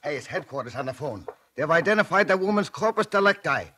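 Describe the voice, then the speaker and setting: An actor playing an excitable junior policeman who blurts out urgent news in a 1940s radio drama. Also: fairly high pitch, quick pace. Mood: excited. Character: excitable junior cop answering the telephone. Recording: noisy old radio broadcast.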